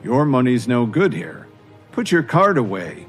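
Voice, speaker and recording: Man doing big shot boss, calm and sure of himself, audio drama, lively intonation. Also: mid-range voice, unhurried, soft background music. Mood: amazed, warm. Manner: calm, assured. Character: influential, composed businessman, deferential to old benefactor.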